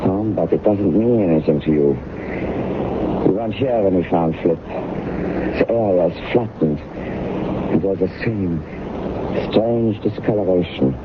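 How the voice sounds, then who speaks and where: A man's voice: low voice, unhurried, long pauses. An actor playing a grave, observant male investigator in a radio drama, on a dull, noisy old recording.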